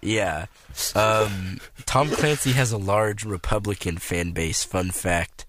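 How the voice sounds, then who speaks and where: A young man hosting a casual college radio show, laughing easily and filling pauses with ums. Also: fairly bright tone. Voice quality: higher voice. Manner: laid-back, laughing, filler-heavy.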